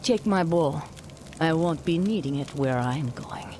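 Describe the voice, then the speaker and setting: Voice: mid-range voice, expressive, a little slow, darkish tone, a little hiss or hum, natural voice. An actor playing a doomed resistance fighter in a video game cutscene, grave and resolute. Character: doomed resistance fighter making a last stand.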